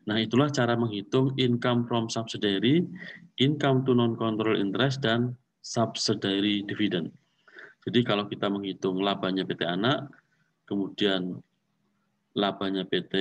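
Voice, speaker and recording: A man with a fairly low voice gives a careful, methodical accounting lecture. He goes through the numbers step by step and repeats key figures and terms. He sounds a little far from the microphone. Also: a little slow, long pauses.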